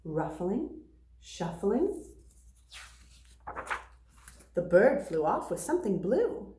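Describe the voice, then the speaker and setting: Animated woman reading slowly to children. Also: mid-range voice, slight background noise. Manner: expressive, deliberate storytelling for small children. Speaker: teacher reading aloud to young pupils. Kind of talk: children's picture book read-aloud. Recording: roomy, echoing sound.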